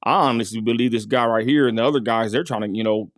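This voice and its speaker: A man's voice: medium pitch, brisk, dry recording, clean studio sound. A man from West Texas narrating his street interview in a casual voice-over, full of fillers and asides to viewers. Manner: folksy, addresses viewers as y'all, many fillers.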